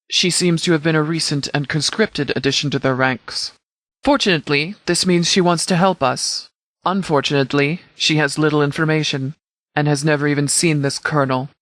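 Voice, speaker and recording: Man acting a formal, measured military commander. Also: fairly high pitch, fairly bright tone, dry recording, natural voice. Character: stern, caring male military commander briefing troops. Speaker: video game voice actor. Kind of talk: scripted game dialogue.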